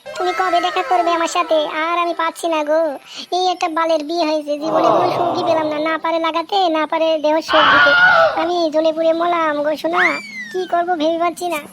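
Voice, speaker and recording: Cartoon wife, young, crude, very high and quick, voiced by a woman. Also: little pitch movement. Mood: pleading. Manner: shrill, fast, scolding and foul-mouthed. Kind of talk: comic cartoon dub. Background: loud background music.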